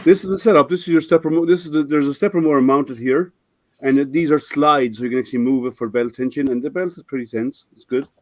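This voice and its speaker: A man's voice: on the high side, fast talker, no room sound. An older man hosting a casual live stream, his voice a little scratchy, explaining his printer with many restarts and fillers. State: slightly scratchy voice.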